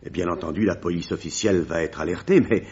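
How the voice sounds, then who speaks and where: A man playing a grave village pastor in a radio mystery, telling a tragic tale in a measured, dramatic way. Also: sits low, a little mellow, slightly rough, highs cut off. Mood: solemn. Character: grave village pastor recounting a horrific family tragedy. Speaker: actor in a radio mystery play. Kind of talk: French radio drama acting.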